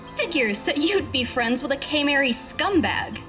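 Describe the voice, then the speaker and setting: A woman playing a hot-headed, distrustful alien fighter, sharp and scornful, in a sci-fi game fan dub. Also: sits high, expressive, unhurried, faint music behind. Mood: hostile. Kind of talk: visual novel fan dub acting.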